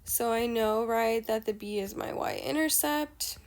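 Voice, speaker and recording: A woman's voice: fairly high pitch, unhurried, darkish tone. A young woman math teacher with a nasal, stuffy voice, patiently explaining a lesson step by step. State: nasal from allergies.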